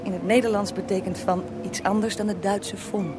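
An actress playing an eager, awed young female journalist with an animated, inquisitive manner in a radio drama. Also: mid-range voice, normal speed, noisy background, lightly acted. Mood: curious.

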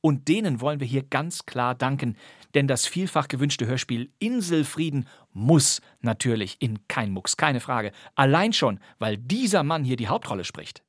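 A male podcast host with a husky voice, recorded close and dry. He narrates from a script with a playful, wry touch, as a presenter of vintage crime radio plays. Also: mid-range voice, animated, moderate pace, dry recording.

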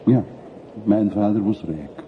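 An actor playing a reserved, reflective middle-aged emigrant returning home in a radio play, speaking in a measured, reticent way. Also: male voice, fairly low pitch. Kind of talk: radio drama acting. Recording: muffled, noisy old radio play recording.

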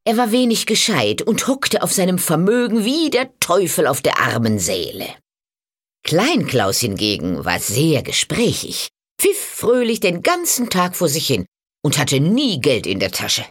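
A woman narrating a fairy tale from a script in an expressive storytelling manner. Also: deep voice, measured pace, breathy edge.

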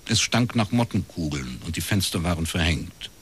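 An actor playing a laconic private detective in a radio crime drama, with a husky voice and a measured, dry way of telling things. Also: male voice, low-pitched, lively intonation, fairly bright tone, a little hiss or hum. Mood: dry, reflective. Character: laconic male East Berlin academic turned private detective.

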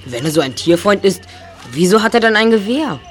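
A male actor playing an analytical, sceptical boy detective, speaking deliberately and a little formally in an audio drama. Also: high voice, animated, a little hiss or hum. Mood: sceptical, probing. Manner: deliberate, reasoning, slightly formal. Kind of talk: German audio drama dialogue.

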